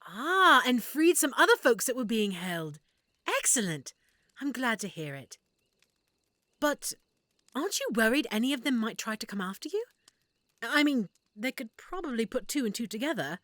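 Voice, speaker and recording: A woman playing an affectionate, excitable half-elf shopkeeper in a roleplay audio drama, speaking expressively and warmly to the listener. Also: a little high, normal speed, dry recording. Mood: intrigued, attentive. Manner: expressive, warm, reacting with feeling.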